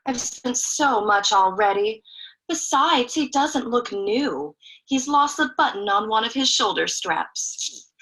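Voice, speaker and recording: A woman's voice: a little high, lively intonation, slow pace, fairly bright tone, exaggerated voice, poor audio. A woman voicing a tired, reluctant mother in a storybook reading. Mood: weary refusal.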